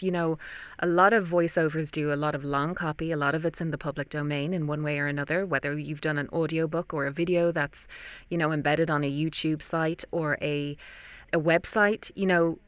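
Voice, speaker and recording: A woman's voice: low-pitched, no room sound. A young woman with an Irish accent, a voice actor telling her story conversationally in an interview, close on a studio mic. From Ireland. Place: small padded recording booth. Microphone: close studio microphone, dry.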